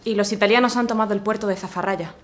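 An actress playing a young military secretary who reports formally. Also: female voice, mid-range voice, steady tone, fast, close to an everyday voice. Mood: dutiful.

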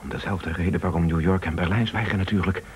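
A man acting a brisk, confident husband who runs a news agency in a Dutch-language radio play. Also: low-pitched, steady tone, slight background noise, close to an everyday voice. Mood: grave, urgent.

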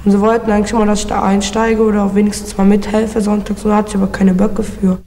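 A young woman delivers a first-person monologue in a dark, mellow voice with little pitch movement. It rings with long reverb over faint ambient noise. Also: little pitch movement, fast talker. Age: young adult.

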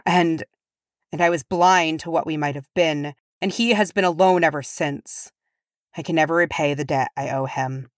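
Female reader doing a broody dwarf warrior guy from a storybook, low n solemn, proud, super earnest. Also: moderate pace, no echo, studio quality, natural voice. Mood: remorseful.

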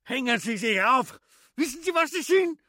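An actor playing a small, fat, furious man who shouts insults in a radio play. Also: extremely high pitch, big pitch swings, moderate pace, no echo, clean studio sound, heavily acted. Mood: shouting insults.

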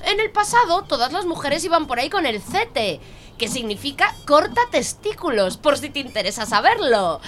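Woman playing a bright, shrill teenage girl. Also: sing-song, normal speed, a little hiss or hum. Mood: mocking. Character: cheeky scheming teenage girl. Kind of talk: amateur audio drama.

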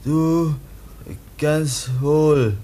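A man's voice: fairly high pitch, steady tone, mellow. An actor playing a timid teenage foundling boy in a 1950 radio play, speaking in broken, stammering, childlike phrases. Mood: hesitant, earnest. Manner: halting, broken, simple childlike speech with stammers. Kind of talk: 1950 German radio drama acting.

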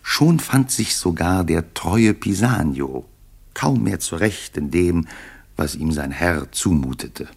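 A dry, wry storyteller narrates a radio play. His voice is very deep and somewhat husky. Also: expressive, slow, full character voice. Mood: dry, composed. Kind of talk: scripted radio drama narration.

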